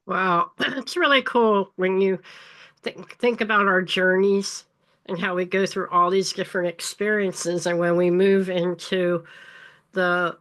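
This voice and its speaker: A woman hosts a faith-based podcast with a friendly manner. She speaks casually and warmly to her guest and her listeners. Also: lowish voice, steady tone, measured pace. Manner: friendly, faith-centered chat. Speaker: podcast host. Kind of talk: podcast interview.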